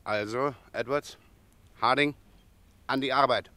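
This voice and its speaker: An actor playing a coolly deceptive, commanding robber leader in a German radio play, speaking in a brisk, curt way. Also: male voice, medium pitch, close to an everyday voice. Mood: smooth, controlled.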